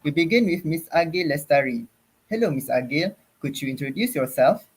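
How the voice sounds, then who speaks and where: A man's voice: a little high, animated, brisk, slightly rough. A young man from Malaysia moderating an online forum, speaking in a formal, ceremonious way over a video call.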